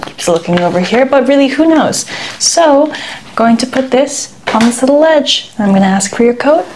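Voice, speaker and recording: A woman playing a chatty, playfully pesky airport security agent in an ASMR role-play, with a folksy, unhurried manner. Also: high-pitched, animated, a little mellow, a little hiss or hum. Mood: officious, playful. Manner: folksy, teasing, deliberately unhurried. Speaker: ASMR performer.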